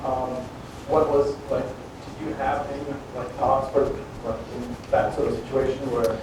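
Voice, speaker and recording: A man in the audience asking a hesitant, halting question at a startup event. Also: medium pitch, flat delivery. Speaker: audience member. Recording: distant, very echoey hall with room noise.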